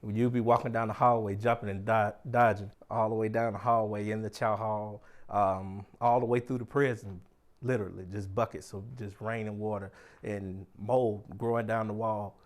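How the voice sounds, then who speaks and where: A man's voice: lowish voice, flat delivery, normal speed. A man speaking candidly in an interview as a former officer, colloquial and emphatic. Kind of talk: candid, informal interview talk.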